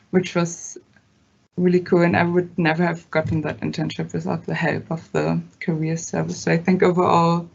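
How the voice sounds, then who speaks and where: Young German woman, low flat gravelly voice. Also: dull audio. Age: young adult. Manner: hesitant, many fillers, laughs between phrases. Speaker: student ambassador. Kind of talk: casual talk at an online open day.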